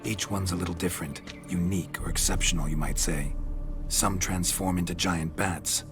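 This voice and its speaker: Gruff stoic monster slayer for a game, real low voice, ish deadpan, music under it. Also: male voice, moderate pace. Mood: firm, explanatory. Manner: clipped, deadpan, drops sentence openings. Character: stoic gruff male monster hunter, weary and matter-of-fact. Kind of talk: video game voice acting.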